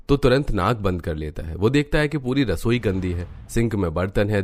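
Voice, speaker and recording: Low-voiced male cartoon narrator.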